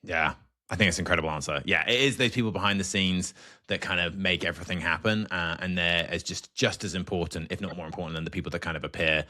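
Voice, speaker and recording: A man's voice: deep voice, steady tone, fast, bright, forceful tone. A man hosting a podcast interview, affirming and enthusiastic as he responds and asks questions. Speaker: podcast host. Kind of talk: conversational interview.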